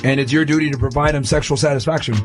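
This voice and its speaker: A man with a fairly high voice argues at a fast clip. He is confrontational and presses with leading questions, while music plays clearly behind him. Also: steady tone. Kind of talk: combative debate.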